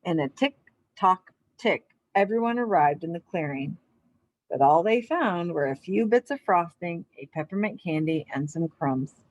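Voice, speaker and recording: A woman's voice: on the low side, animated, a little slow, no echo, rough recording. A woman speaking as a children's teacher, reading a storybook aloud and giving simple, encouraging instructions with check-in questions.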